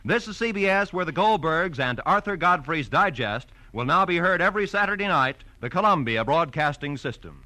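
A man's voice: on the high side, expressive, moderate pace, few pauses, sharp tone, poor audio. An American man announcing in old network radio style, reading scripted credits with polished, emphatic projection.

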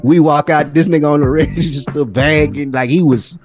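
A man's voice: on the high side, normal speed. An American man in his forties telling stories in a casual, slangy, profane way as a podcast co-host. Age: 40s. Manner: profane, slangy, animated retelling. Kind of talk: casual storytelling chat. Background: music.

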